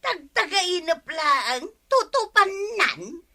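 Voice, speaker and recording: An actress playing a mocking, scoffing village gossip in a comedy radio drama. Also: female voice, very high-pitched, very animated, slow, pushed and bright, heavily acted. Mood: mocking amusement.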